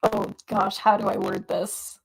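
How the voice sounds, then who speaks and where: A woman's voice: medium pitch, steady tone, unhurried, creaky, husky. A young woman answering questions as a guest in a casual online interview, rambling with fillers and playful asides. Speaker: interview guest, roleplay player.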